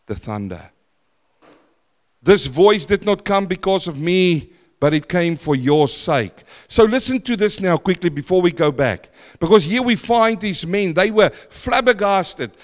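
A man preaching a sermon in a church, loud and emphatic, swinging from forceful declarations to hushed, quiet lines. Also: sits high, lively intonation, a little slow. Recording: long hall reverb.

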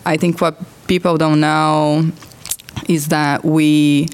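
Slow, low-voiced young woman from Brazil. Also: little pitch movement, smooth. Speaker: intern, guest. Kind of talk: casual podcast conversation.

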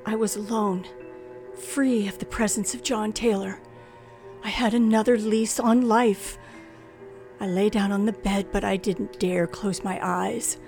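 A woman's voice: mid-range voice, fairly even intonation, a little slow, a little mellow, lightly acted. An actress playing a frightened woman narrating her own ordeal in a 1940s-style radio thriller, dramatic and suspenseful. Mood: tense, hushed. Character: frightened young woman narrator held captive by killer. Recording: old radio drama with music behind.

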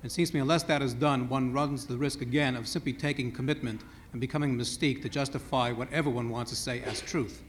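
A man's voice: mid-range voice, fairly even intonation, fast talker, hardly any pauses, a little hiss or hum. A man responding at a panel discussion, precise and argumentative, carefully drawing distinctions. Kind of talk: analytical debate remarks.

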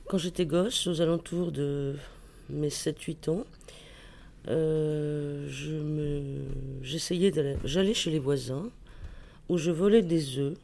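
A man shares a personal recollection in unscripted, halting phrases. His voice sits a little high for a man and has a marked gravelly creak. He corrects himself as he goes. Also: fairly even intonation, warm tone. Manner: halting, self-correcting storytelling. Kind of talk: personal anecdote, spontaneous recollection.

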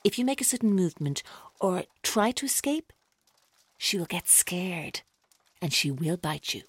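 An actress playing a controlling, taunting female captor in an audio drama, speaking in a deliberate, coaxing way. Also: on the low side, big pitch swings, breathy edge, no echo, exaggerated voice. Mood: quietly threatening. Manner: deliberate, coaxing, coldly mocking. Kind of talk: audio drama acting, monologue-like.

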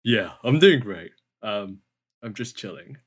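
A woman putting on the deep voice of a laid-back young man, talking slow and easy. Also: animated, slightly rough, exaggerated voice. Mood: chilled.

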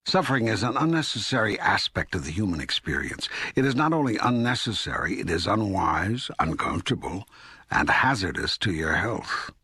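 An ageless, patient God in an audiobook dialogue. The voice is rather low and husky, recorded very dry. It teaches with measured, authoritative statements. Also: male voice, very animated, bright, forceful tone, dry recording, heavily acted. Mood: firm, patient. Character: God, an ageless, patient, all-knowing male deity. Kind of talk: scripted audiobook dialogue reading.

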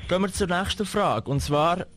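A man's voice: high voice, normal speed, background noise. A man with a Swiss German accent hosting a casual radio interview, speaking informally with English slang mixed in.

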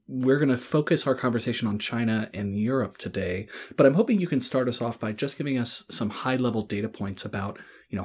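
A man's voice: medium pitch, breathy edge. A man hosting a podcast, asking questions in a friendly, conversational way. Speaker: podcast host. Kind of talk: podcast interview.

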